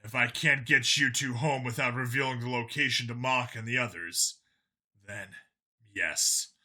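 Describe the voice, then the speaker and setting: Guy reading as a tired earnest cop man in a sci-fi audiobook, insistent, argues a lot, bright forceful voice. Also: medium pitch, a little slow. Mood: quiet, pleading. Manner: long, insistent, reasoning speeches.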